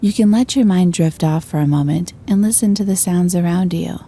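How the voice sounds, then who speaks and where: A woman narrating a scripted guided meditation in a gentle, calm and soothing manner. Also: fairly low pitch, a little fast, slightly rough.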